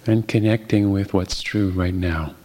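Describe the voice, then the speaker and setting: Low-pitched man guiding a meditation. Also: fairly even intonation. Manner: gentle, unhurried, with long pauses. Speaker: meditation teacher. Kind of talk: guided meditation.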